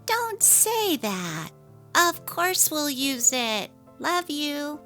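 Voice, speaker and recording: A woman's voice: sits high, big pitch swings, very slow, sharp tone, exaggerated voice. A woman voicing an apologetic, evasive young working wife and mother in a dramatic story reading. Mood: placating, evasive. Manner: apologetic, placating. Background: faint music.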